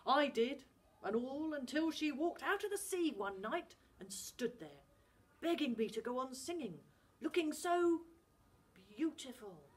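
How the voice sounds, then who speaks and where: A woman reading a story aloud, voicing a stern father who scolds loudly. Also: sits high, very animated, unhurried, voice pushed up. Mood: grave. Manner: loud scolding. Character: gruff Scottish fisherman father, stern.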